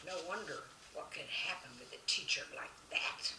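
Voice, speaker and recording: A woman's voice: low-pitched, lively intonation, normal speed. A woman reading children's stories expressively and chatting directly to young listeners. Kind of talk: children's story narration with chat. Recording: roomy, distant mic, faint noise.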